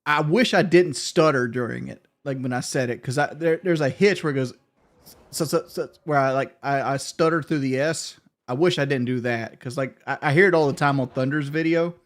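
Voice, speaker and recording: A middle-aged man streaming a game, chatting casually and cursing, rambling with frequent restarts and stutters. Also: mid-range voice. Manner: rambling, profane, stutters when thinking fast. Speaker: gaming streamer. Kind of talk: casual livestream chat.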